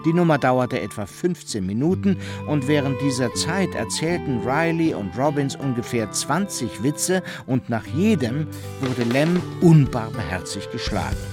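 A man reading scripted literary narration as the storyteller of a German radio drama. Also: medium pitch.

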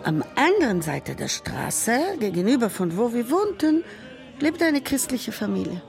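An actress playing an elderly grandmother who tells a grandchild about her childhood, speaking haltingly with broken grammar. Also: fairly high pitch, big pitch swings, gravelly, soft background music. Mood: reflective. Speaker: narrator figure telling a childhood memory. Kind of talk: radio drama monologue.

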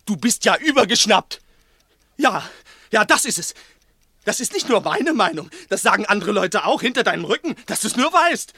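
A man's voice: high voice, very animated, normal speed, pushed and bright, breathy edge, no room sound, heavily acted. A male actor playing a nervous, resentful small-time crook in an agitated, insistent way in a 1960s German radio play. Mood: taunting, agitated. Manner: agitated, insistent, repeating himself.

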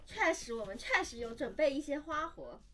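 Very high, animated woman co-host. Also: normal speed. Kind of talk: casual chat, explaining games. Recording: slightly distant mic, music behind.

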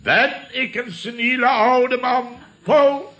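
An actor playing a pompous, indignant older boss in a Dutch radio comedy, declaiming theatrically with a Netherlands accent. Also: male voice, extremely high pitch, takes it slowly, pushed and bright, heavily acted. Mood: blustering, offended.

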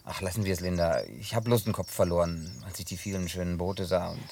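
A man acting in a German radio play as a gruff, hot-headed but harmless drifter who tells things in a colloquial, grumbling way. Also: sits low, fairly even intonation, fast talker, darkish tone, a little hiss or hum.

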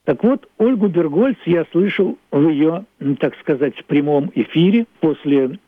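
Man in his eighties, thoughtful radio reminiscence, measured phrasing, rough-edged tone, dry narrow telephone-like recording. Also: on the high side, animated, no room sound. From Russia (Leningrad). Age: 80s. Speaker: playwright and author, interviewed about his play. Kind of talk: reflective radio interview monologue. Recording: muffled narrow phone-like line.

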